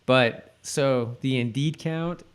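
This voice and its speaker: A man's voice: mid-range voice, slow pace, clear, resonant tone. A man co-hosting a podcast, chatting casually in a loose, somewhat hesitant conversational way. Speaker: podcast co-host. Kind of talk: casual chat about a TV episode.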